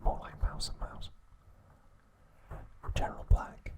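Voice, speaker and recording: A man narrating scripted ASMR in a breathy, unvoiced whisper, with hushed, deliberate phrasing and pauses. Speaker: ASMR host.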